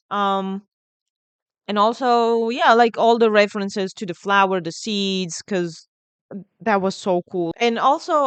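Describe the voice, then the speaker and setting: A woman with an Italian accent hosts a music reaction in a clean, smooth voice. She speaks casually and with enthusiasm, repeating words as she works out her thoughts. The sound is dry and close. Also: mid-range voice, dry recording. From Italy. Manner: enthusiastic, halting, repeats words while thinking. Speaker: music reaction video host.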